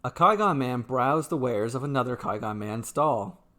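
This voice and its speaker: A man's voice: mid-range voice, lively intonation, moderate pace, fairly bright tone. Man narrating a fantasy short story.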